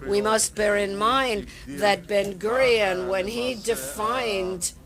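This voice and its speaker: A woman giving a live interpretation of a conference interview, with a measured, halting delivery and pauses as she keeps up. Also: mid-range voice, steady tone, a crowd in the background. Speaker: simultaneous interpreter.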